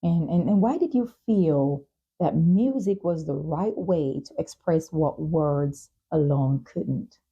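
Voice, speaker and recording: Low, husky woman hosting an interview. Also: slow, muffled recording. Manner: affirming, with frequent short acknowledgements. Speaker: talk show host, interviewer. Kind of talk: conversational interview questioning.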